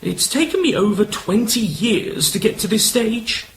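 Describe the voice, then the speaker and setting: A man acts an older retired colonel for an amateur radio play. He is courtly and proud, with a high, swooping voice and old-fashioned gallantry, over a faintly noisy recording. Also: lively intonation, unhurried, a bit bright, exaggerated voice. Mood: proud, confiding.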